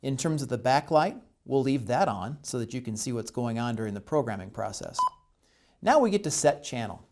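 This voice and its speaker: An American man with a medium-pitched voice presents a step-by-step tutorial. He explains methodically, in plain instructional language, and puts emphasis on the points that matter most. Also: animated, brisk, background noise.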